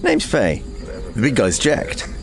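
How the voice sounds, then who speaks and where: An actor playing a cocky, theatrical squad leader of rangers in an audio drama. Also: male voice, medium pitch, huge pitch swings, slow, vocal fry, a crowd in the background, heavily acted. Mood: showy.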